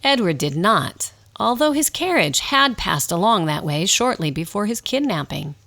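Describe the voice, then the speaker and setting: A woman acting as the narrator of a radio drama, telling a scripted story in a slightly formal, expressive storybook style. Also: medium pitch, normal speed. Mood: storytelling. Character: storybook narrator, wry and unhurried.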